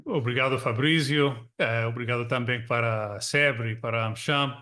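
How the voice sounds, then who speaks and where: A man from Texas who speaks English natively, talking in an easygoing, chatty way with many filler pauses, with an American accent and a countryside São Paulo Portuguese lilt, on a video call from his suburban home. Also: medium pitch, fairly even intonation, sharp tone. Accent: American English with a Brazilian São Paulo countryside lilt. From Texas, United States. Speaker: guest panelist, magazine editor. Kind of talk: online panel discussion. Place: home in a small suburban town.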